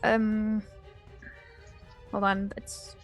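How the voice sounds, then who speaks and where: A woman's voice: medium pitch, level tone, extremely slow, faint music behind. A woman playing a tabletop role-playing game, chatting casually about her moves.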